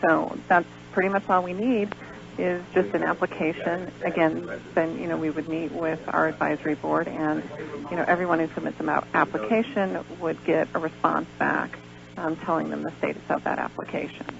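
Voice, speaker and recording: A woman's voice: sits low, steady tone, moderate pace, vocal fry, a crowd in the background. A woman phoning in as a guest on a radio interview, talking in an earnest, encouraging way. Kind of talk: live promotional radio interview chat. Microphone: calling in by phone. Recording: lo-fi phone-in on radio, noisy hum.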